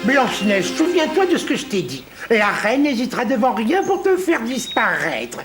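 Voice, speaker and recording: A woman's voice: fairly high pitch, big pitch swings, moderate pace, music in the background. A woman playing a bossy, protective friend of a princess in a dubbed cartoon, blunt and emphatic. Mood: stern warning.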